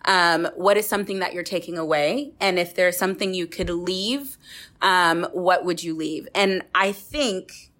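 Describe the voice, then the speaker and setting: A woman's voice: lowish voice, unhurried, fairly bright tone. A woman hosting a casual group podcast, speaking in an encouraging, reflective way as she sums up what her guests say. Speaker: podcast host.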